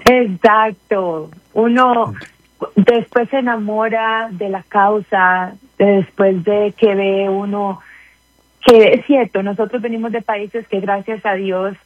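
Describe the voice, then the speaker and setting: A woman's voice: mid-range voice, steady tone, slow, no echo. A woman in her forties with a Costa Rican accent, a guest on a radio interview, talking gratefully and at length with small restarts. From Costa Rica. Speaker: guest, community wellness manager. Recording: tinny phone line.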